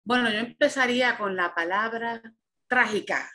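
A Puerto Rican woman, a law professor, answers interview questions in a bright, clear voice. She speaks thoughtfully and haltingly, often pausing on "eh" as she gathers her words. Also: a little high. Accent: Puerto Rican Spanish. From Puerto Rico. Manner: thoughtful, halting, with frequent "eh" pauses.

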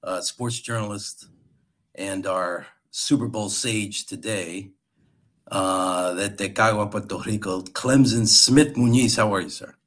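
A man's voice: lowish voice, a little slow, fairly bright tone. A man from Philadelphia who hosts the podcast and runs a company, interviewing a guest in friendly, affirming chat and often stumbling over his words. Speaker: podcast host, company CEO.

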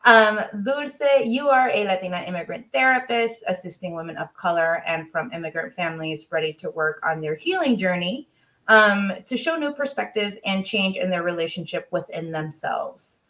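A woman's voice: fairly low pitch, animated, moderate pace, a bit bright. A woman hosting a podcast interview, enthusiastic and effusive, slipping Spanish phrases into her English with frequent ums. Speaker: podcast host.

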